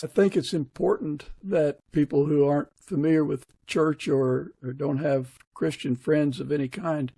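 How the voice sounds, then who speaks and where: A man's voice: on the high side, vocal fry, warm tone, slightly rough, dry recording. A man hosting a podcast, talking in a casual interview style. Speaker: podcast host.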